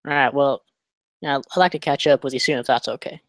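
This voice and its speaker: A man acting a remorseful, awkward ex-prisoner in a film script read, with a halting, apologetic way of talking. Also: mid-range voice, fairly even intonation, no room sound, clean studio sound, close to an everyday voice. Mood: awkward, tentative.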